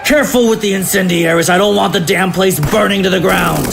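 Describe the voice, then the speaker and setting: A man playing a gruff, commanding military captain barking orders in an audio drama. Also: sits high, brisk, background noise. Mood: stern, commanding.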